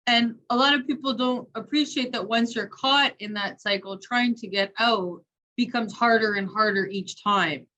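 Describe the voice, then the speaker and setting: Bright-voiced interview host. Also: mid-range voice, normal speed. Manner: sums up the guest's points and asks follow-up questions. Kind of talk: interview questions.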